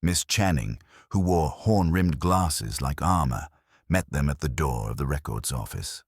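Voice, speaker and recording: A man's voice: a bass voice, animated, measured pace, breathy edge, dry recording, studio quality. A man reading scripted narration of a detective story as an audiobook narrator.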